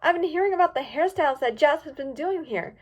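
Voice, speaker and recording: A woman's voice: sits high, expressive, fast talker, pitched above the performer's own voice. A woman voicing an eager, easily distracted young female pony in a storybook reading. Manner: eager, pleading, bubbly.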